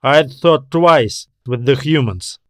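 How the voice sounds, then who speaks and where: A man's voice: mid-range voice, takes it slowly, dry recording, natural voice. Level-headed male space pilot, read by a male narrator, persuasive, exasperated, sci-fi story, dry recording. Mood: matter-of-fact.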